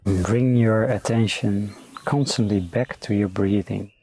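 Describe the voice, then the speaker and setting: A man speaking as a meditation teacher, giving calm, unhurried, gentle guided meditation instructions. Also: on the low side, slightly rough.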